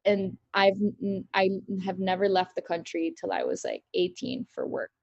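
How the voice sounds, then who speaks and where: A young woman from the Philippines talks in a chatty, bubbly way, peppering her answers with "like" and "literally." Her sits at a middle pitch. She is heard over a video call. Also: normal speed, dull audio. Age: 20s. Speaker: model interviewed at a press conference. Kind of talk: casual online press conference answers.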